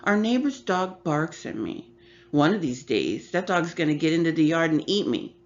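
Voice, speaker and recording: A woman's voice: low-pitched, very animated, normal speed, voice pushed up. A woman voicing a young girl, a whiny and pushy little sister. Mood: whining. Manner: whining, insistent. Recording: slightly muffled with faint hum.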